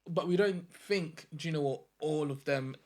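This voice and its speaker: A man's voice: on the high side, moderate pace, darkish tone. Thoughtful young man reasoning with examples. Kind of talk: casual podcast chat.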